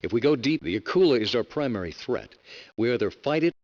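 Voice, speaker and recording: Fast-talking man reading game choices. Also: mid-range voice, lively intonation, darkish tone, highs cut off. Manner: reads choices aloud fast, stumbling. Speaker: streamer playing the captain.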